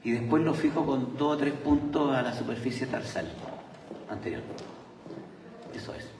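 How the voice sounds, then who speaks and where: Chilean man lecturing at a conference, halting, recorded from far off in a reverberant hall. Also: mid-range voice, noisy background. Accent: Chilean Spanish. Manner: hesitant, many filler sounds and restarts. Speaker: surgeon presenting at a conference. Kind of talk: conference talk.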